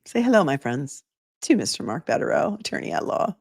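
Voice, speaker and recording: A woman attorney hosting a channel, hoarse from a long read, reading a legal brief aloud in a measured, emphatic way and then chatting casually with a guest. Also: low-pitched, big pitch swings, darkish tone, dry recording, studio quality.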